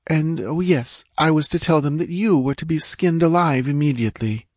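A man's voice: on the high side, normal speed, darkish tone, dry recording, clean studio sound, voice pushed up, natural voice. A man voicing a frightened young dancing girl, pleading and tearful, in a storybook reading.

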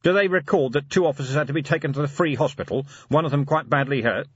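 Guy doing a grumpy tired cop boss, blunt n sarcastic, husky, bit muffled dry audio. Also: a little high, fast, pitched above the performer's own voice. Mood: grumpy, sardonic. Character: gruff, grumpy, weary, sardonic male police commander. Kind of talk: audiobook character acting.

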